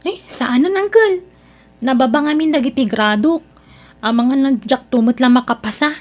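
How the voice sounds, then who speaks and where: High-voiced, whiny young Filipina niece. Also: female voice, normal speed. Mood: hesitant, pleading. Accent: Filipino, Ilocano. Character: proud young niece Mira, reluctant new restaurant dishwasher, complaining daughter. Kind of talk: Ilocano audio drama acting.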